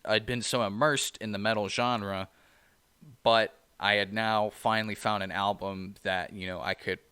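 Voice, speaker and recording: A man co-hosting a podcast in casual chat, with a low voice, rambling and filling pauses with "you know". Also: steady tone, bright, forceful tone, smooth, dry recording. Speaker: podcast co-host.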